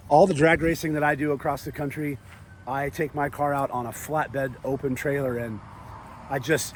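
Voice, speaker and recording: A man hosting his vlog with a hoarse, worn-out voice, chatting excitedly about a new trailer. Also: medium pitch, a little hiss or hum. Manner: excited, enthusiastic, loose and chatty. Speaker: vlog host. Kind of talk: casual vlog narration. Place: outdoors at a trailer lot.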